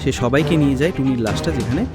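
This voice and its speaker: A man's voice: medium pitch, quick pace, music in the background. A man narrating a scripted horror story for a cartoon.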